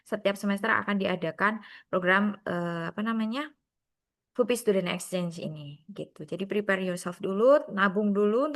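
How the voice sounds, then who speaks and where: A woman moderating an online sharing session, friendly and brisk, mixing in English phrases. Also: medium pitch.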